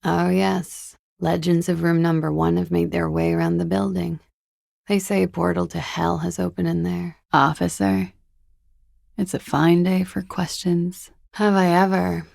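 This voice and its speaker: An actress playing a cool, world-weary young expatriate woman in a video game, speaking with dry, languid irony in a husky, gravelly voice. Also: on the low side, little pitch movement, unhurried, darkish tone, no echo. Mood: wry, teasing. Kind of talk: video game character dialogue.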